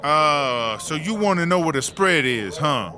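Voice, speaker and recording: A man performs a sassy woman inmate in a comedy audio drama. She is bossy and slangy, with a fairly high, sharp, forceful voice. Faint background noise sits underneath. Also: lively intonation, measured pace. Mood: explanatory, relaxed. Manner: slangy, emphatic, self-promoting. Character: sassy, bossy, hustling female inmate cook.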